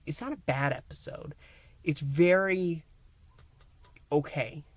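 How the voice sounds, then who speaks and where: A man with a somewhat high voice presents a review to his listeners. He talks enthusiastically and emphatically, pausing for dramatic weight. The sound is dry and close, with little room echo. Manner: theatrical, emphatic, with dramatic pauses. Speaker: host, reviewer. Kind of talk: enthusiastic scripted-style recap and review to listeners.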